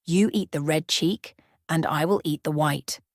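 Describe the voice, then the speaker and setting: A woman's voice: deep voice, measured pace, dry recording. Woman doing sneaky old peddler lady from a fairytale, wheedling, dry sound. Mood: sly persuasion. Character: sly old peasant woman peddler, coaxing and deceitful.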